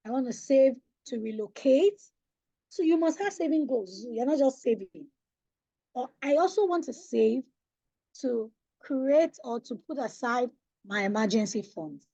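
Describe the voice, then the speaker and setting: Emphatic Nigerian woman, high husky voice. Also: warm tone, dry recording, lo-fi sound. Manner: emphatic, preacherly, asks the audience questions. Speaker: financial coach. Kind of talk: webinar talk on personal finance. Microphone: online webinar audio.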